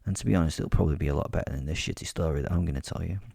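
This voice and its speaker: A man's voice: rumbling low, racing, gravelly, dark tone, husky, no room sound, studio quality. A man narrating a comic horror story in the first person as a sardonic werewolf, in a wry, sweary, conversational way. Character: sardonic, sadistic male werewolf telling his story. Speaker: podcast host and storyteller.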